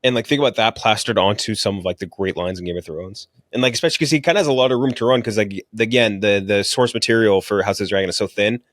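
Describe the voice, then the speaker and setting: A man talks at a quick clip in a lowish voice, close and dry on the recording. He is a podcast co-host giving opinions on movies and shows in casual, slangy language with some swearing. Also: fairly bright tone, no echo. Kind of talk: casual opinionated film and TV chat.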